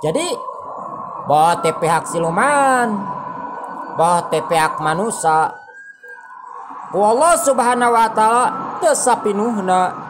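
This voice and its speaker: A man voicing a resigned human seeker in a folk tale, reasoning in a measured, deliberate way over background noise. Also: sits high, animated. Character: Bagas, resigned, reasoning human man seeking demon's help. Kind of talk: Sundanese folk-tale storytelling.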